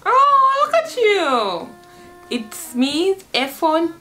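A woman's voice: extremely high pitch, wildly swooping pitch, extremely slow, pushed and bright, faint music behind. Playful young woman vlogging from a bedroom. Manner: playful, laughs often, teaching Swahili phrases. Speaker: vlogger host. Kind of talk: casual chat. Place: bedroom, indoors.

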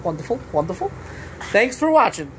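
A man's voice: sits high, sing-song, fairly quick, a bit bright, a little hiss or hum. A man, vlogging casually outdoors on a phone held at arm's length, rambling with lots of fillers and "okay?". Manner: rambling, filler-heavy, keeps saying okay. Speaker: trucker vlogger. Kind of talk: casual vlog promo. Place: outdoors under a building awning. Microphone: phone camera at arm's length.

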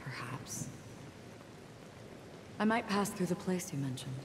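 An actress voicing a calm, melancholy wandering swordswoman in a video game, speaking softly and with measured restraint. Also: on the low side, noisy background. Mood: quiet, melancholy. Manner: soft, measured, subdued. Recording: echoey game audio with music.

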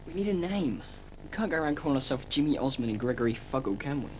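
A man's voice: mid-range voice, sing-song, quick pace, lightly acted. A young male actor playing a cheeky, boastful teenage boy who spins tall tales and schemes to start a band, in a 1990s children's TV drama. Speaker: band member. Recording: noisy old VHS tape.

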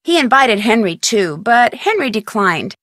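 A young woman detective narrates a mystery game with composure and dry wit. Her delivery is measured and storylike, recorded close and dry. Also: on the high side, very animated, a bit bright, no echo. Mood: composed, wry. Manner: clear measured storytelling, dryly wry.

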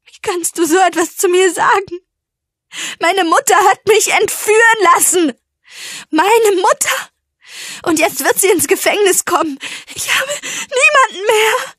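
A woman voicing a wounded, fiercely angry young woman in an audiobook, speaking forcefully and with raw emotion. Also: extremely high pitch, animated, a little slow, dry recording, voice pushed up, heavily acted. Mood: anguished, desperate.

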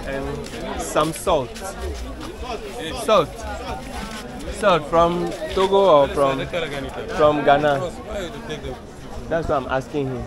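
A man's voice: a little high, fairly even intonation, drawn-out words, pauses often. A man in his forties touring an outdoor market on camera, chatting and asking questions as he walks. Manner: chatty, curious, asks lots of questions. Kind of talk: casual walking vlog narration. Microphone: handheld camera at arm's length. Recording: loud market crowd behind.

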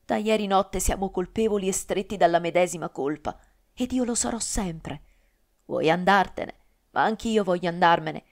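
A woman's voice: fairly low pitch, moderate pace, close to an everyday voice. A woman voicing a passionate twenty-year-old noblewoman who pleads and protests with strong feeling. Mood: vehement. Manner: emotional, pleading and vehement.

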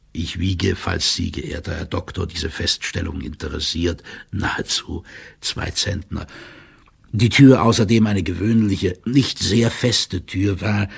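An actor playing a stout official who reads out a stiff, drily ironic report in an audio drama, in a gravelly, husky, measured voice. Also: male voice, low voice, exaggerated voice. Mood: stiff, wry. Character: stout male official giving a stiff, wry written report.

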